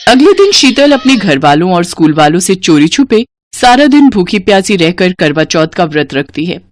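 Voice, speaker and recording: A woman's voice: lowish voice, big pitch swings, normal speed. A woman reading scripted narration as the storyteller of a dramatized tale.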